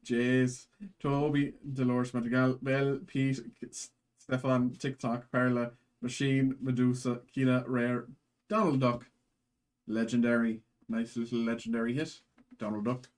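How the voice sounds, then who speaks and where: A man's voice: medium pitch, a little mellow. A man hosting a live card break in a put-on Irish accent, rattling off card names from each pack.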